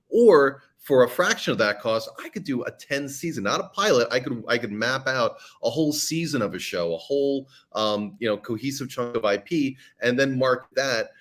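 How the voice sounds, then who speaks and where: A man's voice: fairly low pitch, a bit bright. A middle-aged man, a podcast creator and guest, talking casually in an interview with many fillers and restarts.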